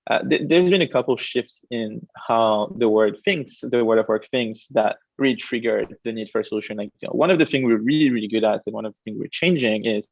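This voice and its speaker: A young man from France, a startup co-founder, answering interview questions quickly and animatedly, with frequent fillers. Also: medium pitch, gravelly, no room sound. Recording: dull, dry lo-fi audio.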